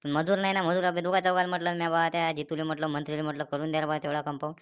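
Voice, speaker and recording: Exasperated cartoon farmer, played by a woman: lowish pitch, very fast, repetitive grumbling, rural Vidarbha Marathi accent. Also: flat delivery, clean tone, natural voice. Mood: scolding. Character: exasperated male village farmer, grumbling about stray cattle. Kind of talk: Marathi cartoon comedy dialogue.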